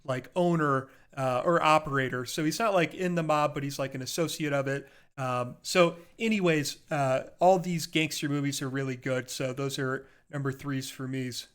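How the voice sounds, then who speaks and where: A man's voice: medium pitch, fairly even intonation, normal speed, few pauses, fairly bright tone, clean tone. A man co-hosting a casual podcast chat, rambling with enthusiasm and often correcting himself as he goes. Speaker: podcast co-host. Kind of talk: casual chat about favorite movies.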